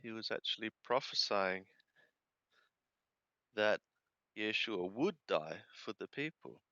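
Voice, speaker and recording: A man reading scripture aloud and translating it phrase by phrase, in a deliberate, halting way with careful enunciation. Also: fairly low pitch, lively intonation, bright, forceful tone, dry recording, muffled recording. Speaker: Bible reader and translator.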